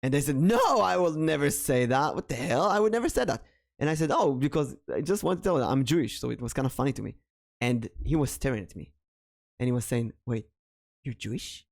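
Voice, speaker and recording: A man's voice: mid-range voice, expressive, fairly quick, creaky. A man from Israel with a Hebrew accent, hosting a casual podcast and telling stories with frequent hesitations. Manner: storytelling, hesitant with many ums. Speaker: podcast host.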